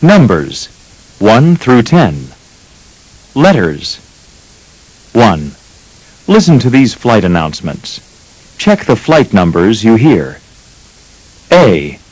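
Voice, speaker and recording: A man reading instructions as narrator of a language course, slow and deliberate. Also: fairly low pitch, very animated, darkish tone, breathy edge, no echo, poor audio.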